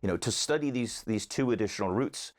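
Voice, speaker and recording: A man's voice: on the low side, animated, fairly quick. A man giving conversational interview answers as a local advocate, earnestly arguing his case point by point.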